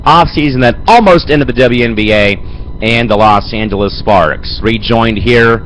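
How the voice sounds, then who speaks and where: A young man with a head cold and a raspy voice, hosting an amateur sports show and rambling with lots of fillers. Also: mid-range voice, normal speed, a bit bright, slight background noise, low-quality recording. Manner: rambling, fills with "man" and "Chris". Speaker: host. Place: home studio.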